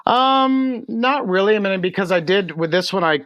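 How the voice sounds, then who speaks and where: A man from Texas speaking as a musician guest in a casual interview, a little halting. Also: sits high, animated, clear, resonant tone, dry recording, lo-fi sound. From United States, raised in Texas. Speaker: singer-songwriter guest.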